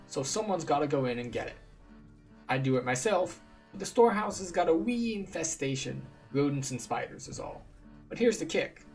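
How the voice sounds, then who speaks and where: Casting: man playing folksy game villager, simple and friendly, raised animated voice, music underneath. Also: a little high, voice pushed up, natural voice. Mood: folksy, urging. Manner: folksy, colloquial, animated speech. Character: friendly rustic village man, uneducated quest giver.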